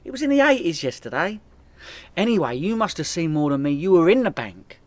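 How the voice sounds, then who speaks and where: An actor playing a hapless, boastful petty criminal cabbie with a London accent, talking colloquially in a comedy radio play. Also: male voice, a little high, very animated, brisk, dry recording. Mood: defensive.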